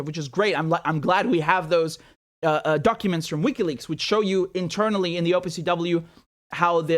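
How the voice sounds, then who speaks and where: A man in his thirties, born in Syria, hosting his own commentary show in a small studio, talking straight to camera into a boom mic with indignant, sarcastic energy and frequent stumbling repeats. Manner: indignant, sarcastic, repeats words when worked up. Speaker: political commentator host. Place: small indoor studio room. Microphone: studio mic on boom arm.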